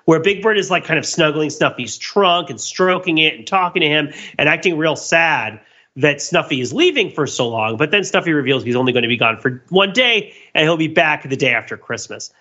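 Guy podcast host w/ a highish bright voice, rambly animated retelling, kinda dull lo-fi sound. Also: brisk. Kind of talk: casual comedic pop-culture discussion.

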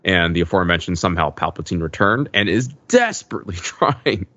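A man's voice: low-pitched, animated, a little fast, bright, forceful tone, dry recording, highs cut off. A man co-hosting a podcast, talking casually and analytically in rambling sentences with many asides. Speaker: podcast co-host. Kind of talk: casual analytical pop-culture discussion.

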